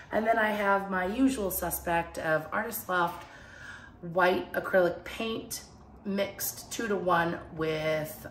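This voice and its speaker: A woman with a cold, a little hoarse, chatting casually through a fluid art painting tutorial with many fillers. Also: fairly low pitch, roomy sound.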